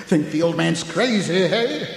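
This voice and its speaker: A man's voice: a little high, lively intonation, unhurried, vocal fry. An actor playing a half-mad old townsman in a radio horror drama, ranting and taunting in rustic dialect through heavy reverb. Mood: manic taunting. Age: older. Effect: heavy reverb, as a remembered voice. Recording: heavily echoing hall reverb.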